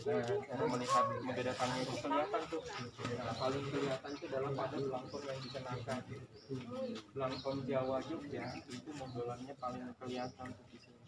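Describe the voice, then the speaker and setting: A man's voice: mid-range voice, little pitch movement, a little fast. A man from guiding a group on a tour, explaining conversationally and checking in with his listeners. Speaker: tour guide. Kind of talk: guided tour commentary to a group. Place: on site at a historical cemetery and bathing pool. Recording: noisy, echoey, distant live tour recording.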